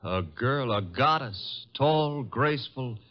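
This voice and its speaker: A breezy American wisecracker, assistant to a detective, in an old radio drama. His pitch is middling and moves around a lot as he teases. The recording is dull and vintage. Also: animated, slow. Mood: breezy, flirtatious.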